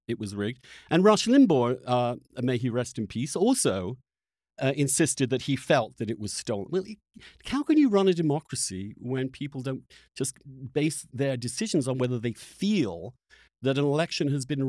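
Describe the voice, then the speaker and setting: A male podcast host in a heated political debate. He speaks insistently, pressing with challenges, and his pitch moves widely. The sound is dry, with hardly any room on it. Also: darkish tone, no room sound. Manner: combative, insistent, presses with pointed questions.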